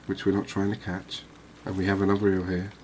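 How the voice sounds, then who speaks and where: Man, deep voice, little pitch movement, cozy gameplay commentary, musing and unhurried, steady ambient noise behind. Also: low-quality recording. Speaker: host of a cozy fishing game channel. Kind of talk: relaxed ASMR-style gameplay commentary.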